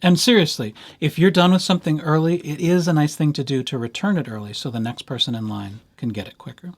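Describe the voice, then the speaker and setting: A man's voice: fairly high pitch, fast. A man presenting an informal screencast tutorial for library users, talking through each step in a playful, conversational way with little asides.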